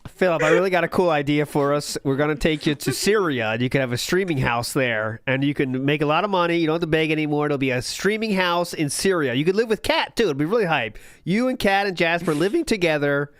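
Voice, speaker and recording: A man's voice: fairly high pitch, animated, fast talker, hardly any pauses, fairly bright tone, no room sound, studio quality. A man hosting a podcast, joking and teasing while reacting to a clip. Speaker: podcast host. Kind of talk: casual group chat reacting to video.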